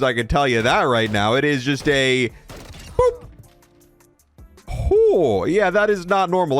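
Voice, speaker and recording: Male game reviewer, slightly high voice, very animated delivery, casual and joking commentary. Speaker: video game reviewer and host.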